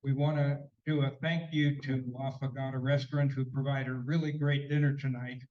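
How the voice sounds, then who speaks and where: A man co-hosting a neighborhood homeowners' meeting in a senior center hall, talking haltingly with many fillers and a slight cold. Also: medium pitch, level tone, highs cut off. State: sneezing, slightly congested. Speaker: meeting co-host.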